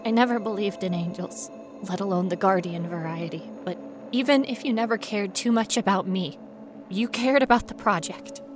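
A woman's voice: mid-range voice, moderate pace, over music, close to an everyday voice. A woman playing a frightened, wry young ghost researcher in an audio horror monologue, rambling nervously to herself. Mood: anxious, resolute. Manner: rambling nervous self-talk, sardonic, often dropping to whispers.

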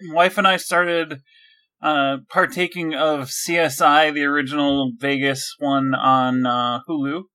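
A man's voice: on the high side, steady tone, a little slow, pushed and bright. A man co-hosting a casual podcast, laid-back and dry, often giving short answers. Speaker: podcast co-host.

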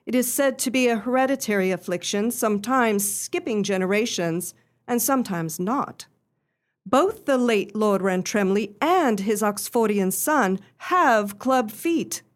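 A woman playing an earnest, genteel young lady in a radio play, telling her story in formal, measured phrases. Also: mid-range voice, lively intonation, close to an everyday voice. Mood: earnest, grave.

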